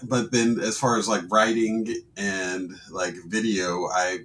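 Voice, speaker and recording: A man co-hosting a podcast in casual chat, hesitant and quick to laugh. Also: on the low side, level tone, fairly bright tone, a little hiss or hum, poor audio. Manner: hesitant, laughs often, many restarts. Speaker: podcast co-host.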